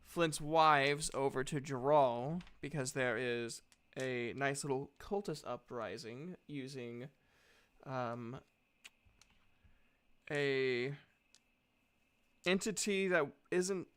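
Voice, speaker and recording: A man plays a brisk, orderly woman who leads a guild in a tabletop fantasy game. The delivery is formal and authoritative, with a smooth, clear tone and measured, slow pacing. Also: medium pitch, fairly bright tone. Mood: businesslike.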